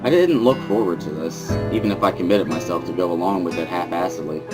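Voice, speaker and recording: A man's voice: sits low, fast talker, low-quality recording. A man giving an understated, introspective first-person dramatic reading of a visual novel as its narrator. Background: guitar music.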